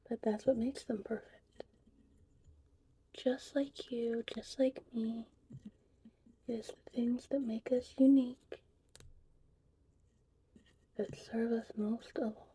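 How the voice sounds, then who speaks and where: A woman playing a gentle, whimsical witch in an ASMR roleplay, speaking in a soft, breathy, soothing whisper. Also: on the high side, fairly even intonation, slight background noise. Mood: gentle, confiding. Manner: slow, soothing, unhurried. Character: gentle, whimsical female witch teaching potion making. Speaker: ASMR performer.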